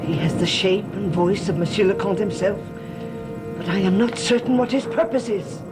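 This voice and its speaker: An actress plays a sinister woman servant who dabbles in the occult. Her delivery is theatrical and deliberate, with a taunting edge and a medium pitch. It comes from an old gothic television soap, with score playing clearly behind her. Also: close to an everyday voice. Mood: commanding. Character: sinister, scheming female servant, defiant occult summoner. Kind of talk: 1960s-70s gothic TV soap acting. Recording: old TV soundtrack with music underneath.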